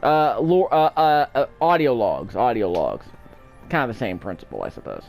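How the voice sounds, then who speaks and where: Animated man casually commentating a game. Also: mid-range voice, moderate pace, gravelly, soft background music. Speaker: let's play commentator.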